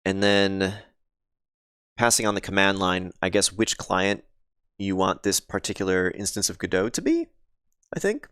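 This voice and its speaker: A man thinking aloud on a casual live coding stream, pausing with ums and chatting with viewers, his voice slightly scratchy and sniffly. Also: low voice, very animated, fairly bright tone, clear, resonant tone, dry recording, studio quality. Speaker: game developer streaming live.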